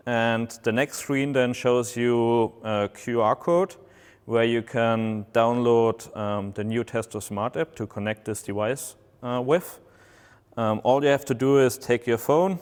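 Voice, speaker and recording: A man with German as his first language, presenting a product demonstration slowly and haltingly, with many filler sounds. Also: mid-range voice, clear, resonant tone, in a large hall.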